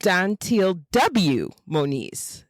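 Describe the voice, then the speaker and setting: A woman's voice: fairly low pitch, big pitch swings, drawn-out words. An American woman co-hosting a podcast, chatting casually about books and writers. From Jacksonville, Florida, USA. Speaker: podcast co-host. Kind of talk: casual literary chat.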